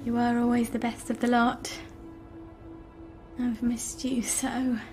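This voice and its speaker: A woman's voice: fairly high pitch, monotone, slow pace, over music. An actress playing a frank, wry, wistful woman chemist in a video game, speaking intimately and sometimes whispering. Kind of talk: naturalistic video game acting.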